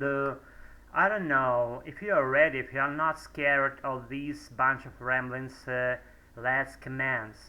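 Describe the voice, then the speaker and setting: Man with a Russian accent, bright and tense. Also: mid-range voice, rough recording. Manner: hesitant, many fillers and restarts. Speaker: English learner vlogger. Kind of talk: rambling monologue practicing spoken English. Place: home room, indoors.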